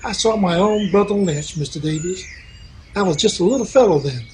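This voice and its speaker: A man acting a devout, sorrowful cowhand in a radio western. The voice is high for a man, humble and folksy, with faint background noise. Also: brisk, natural voice, poor audio. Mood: solemn, sorrowful. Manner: deferential, folksy.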